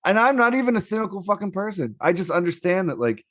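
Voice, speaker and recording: A man's voice: high voice, sing-song, fast, fairly bright tone, no room sound. A middle-aged man chatting casually as a podcast co-host, slangy and heavily profane. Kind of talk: casual chat.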